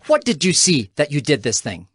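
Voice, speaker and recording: A woman's voice: low voice, big pitch swings, moderate pace, fairly bright tone, breathy edge, no room sound, studio quality, full character voice. A woman voicing an ancient king in a dramatized scripture reading, speaking formally and earnestly. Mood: indignant reproach. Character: Abimelech, an indignant, pleading ancient king.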